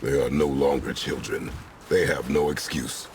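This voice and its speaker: A man playing a stern, battle-hardened old warrior father in a video game, gravelly, curt and commanding. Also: a bass voice, fairly even intonation, normal speed, darkish tone, slight background noise. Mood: terse, stern. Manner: curt, commanding, sparing with words. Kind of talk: cinematic video game acting.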